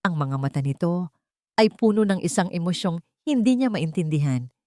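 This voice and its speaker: A woman's voice: lowish voice, expressive, normal speed, dark tone, dry recording, studio quality. A woman reading a scripted romance story as a dramatic narrator.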